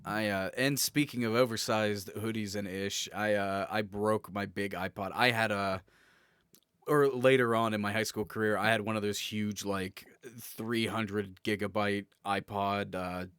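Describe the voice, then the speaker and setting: A man co-hosting a podcast in casual, nostalgic banter, telling stories in an animated way with easy swearing. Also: fairly low pitch, a little slow, clean tone, no echo, clean studio sound. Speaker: podcast co-host.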